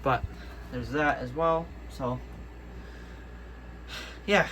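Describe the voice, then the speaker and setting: Male hobbyist vlogger, mid-pitched, halting and self-correcting, informal demo style, clear background noise. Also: bright, forceful tone, rough recording. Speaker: hobbyist video maker. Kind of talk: casual show-and-tell vlog. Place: indoors, room with audio gear.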